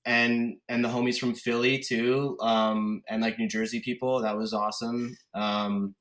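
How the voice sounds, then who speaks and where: A man in his thirties from Connecticut speaks as a podcast guest. His voice sits at a middle pitch, and he tells personal stories in a relaxed, informal chat style. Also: measured pace. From Connecticut, United States. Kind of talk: casual chat, personal storytelling.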